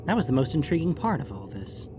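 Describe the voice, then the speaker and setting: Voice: medium pitch, big pitch swings, fast, over music. A narrator reading a scripted fantasy story for an audiobook.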